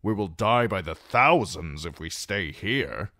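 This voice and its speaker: A man voicing a defiant, hot-blooded knight who speaks in loud, rousing declarations. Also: deep voice, lively intonation, unhurried, dry recording, clean studio sound, exaggerated voice.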